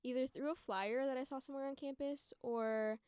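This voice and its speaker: A woman's voice: sits high, fairly even intonation, a little fast, a little mellow, smooth, no room sound, studio quality. A young American woman around twenty chatting casually as a podcast guest, informal and peppered with "like" and "you know". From United States. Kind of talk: casual conversational chat and storytelling.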